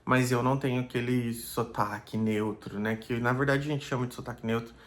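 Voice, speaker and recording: Reflective pastor talking casually. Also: male voice, mid-range voice, steady tone, fairly quick. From Brazil. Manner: thoughtful, many fillers like "né" and "é".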